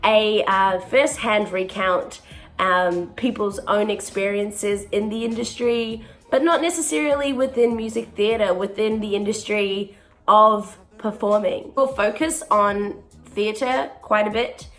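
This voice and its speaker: A young woman, a touring musical theatre performer talking earnestly to camera in a casual vlog, often pausing on "um". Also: mid-range voice, faint music behind. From born in South Africa, raised in New Zealand. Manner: earnest and chatty, with frequent ums.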